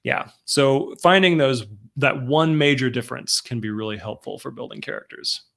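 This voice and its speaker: Guy hosting an rpg chat with a head cold, sniffly, bright forceful tone, over a video call. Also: medium pitch, animated, creaky. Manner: chatty, explains with quick examples. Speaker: host. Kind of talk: casual discussion of roleplaying games.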